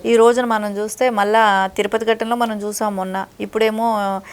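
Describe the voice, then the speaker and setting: A woman's voice: medium pitch, level tone, moderate pace, clean tone. A woman giving critical, opinionated political commentary as an interview guest, in long conversational explanations.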